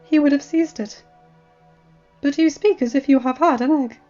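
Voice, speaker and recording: A woman voicing a kindly, wise, very elderly male maester in a fantasy story, speaking in a courtly, measured way. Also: sits high, warm tone, natural voice. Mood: grave, curious. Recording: muffled audio with music.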